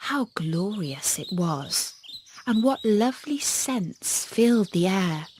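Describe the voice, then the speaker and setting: Expressive, unhurried woman storyteller. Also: medium pitch, slight background noise. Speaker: audiobook narrator. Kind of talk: scripted children's fairy tale narration.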